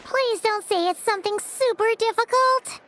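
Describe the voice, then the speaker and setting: An actress playing a tiny, fretful, childlike fairy companion in a video game, with a squeaky voice. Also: female voice, moderate pace, fairly bright tone, a little hiss or hum. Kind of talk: video game character acting.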